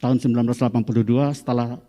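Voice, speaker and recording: A Medan-accented man in his fifties preaches to his congregation, slow and deliberate, then swelling loud and high at the key lines. His tone is soft and mellow. The church hall gives his voice a long, lingering reverb. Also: flat delivery. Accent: Medan Indonesian. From Medan, North Sumatra, Indonesia. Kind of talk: sermon to a congregation.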